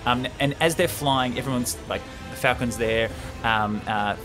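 A man's voice: mid-range voice, normal speed. Young man chatting over faint music. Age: young adult. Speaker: podcast host. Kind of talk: casual chat, recapping a film script. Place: small room indoors. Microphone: close desk podcast mic.

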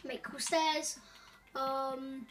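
High-voiced woman, rambling tutorial. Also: little pitch movement, extremely slow, fairly bright tone, recorded from a distance, soft background music. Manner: rambling, many ums and self-corrections. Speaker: gaming tutorial host. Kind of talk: casual game walkthrough.

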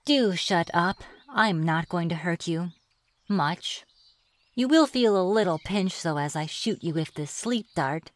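A woman's voice: lowish voice, expressive. A woman playing a reclusive, flirtatious witch in an ASMR fantasy roleplay, with a playful, mocking manner. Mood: gloating. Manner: playful, mocking, drawn-out taunting. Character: reclusive, teasing, flirtatious witch of the deep woods.

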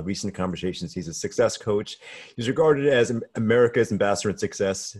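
A man in his forties hosting an interview over a video call from a room at home, eager and often restarting his words. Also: deep voice. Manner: enthusiastic, repeats and restarts words. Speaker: podcast host. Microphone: video call with desk mic.

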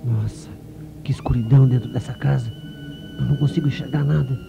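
A man playing a nosy, jittery reporter muttering to himself in a comic radio play, with a creaky voice. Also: medium pitch, a little fast, over music. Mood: nervous. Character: nosy, jittery newspaper reporter snooping alone. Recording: dull lo-fi radio recording.

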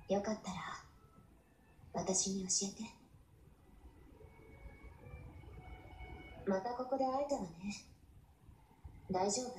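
A woman voicing a gentle, devoted young warship woman in an anime game, soft and soothing with a breathy delivery. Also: medium pitch, lightly acted. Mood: tender, concerned. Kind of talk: Japanese anime game voice lines. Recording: echoey playback off a screen, faint noise.

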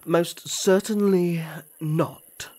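A man as a stern, protective, husky elf prince. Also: high-pitched, fairly even intonation, extremely slow, a little mellow, exaggerated voice. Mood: indignant. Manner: formal, guarded, disapproving. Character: protective, serious young male elf prince, reluctant and stern. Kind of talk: fantasy audio roleplay acting.

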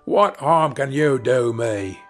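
A man's voice: mid-range voice, expressive, slow, fairly bright tone, soft background music. A man voicing a brutish, scornful, grumbling male carter in a fairy tale.

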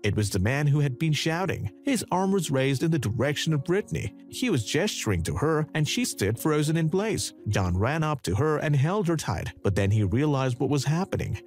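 A man's voice: moderate pace, few pauses. A man narrating a dramatic true story in a scripted voice-over. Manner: dramatic storytelling with animated pitch. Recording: narration over background music.

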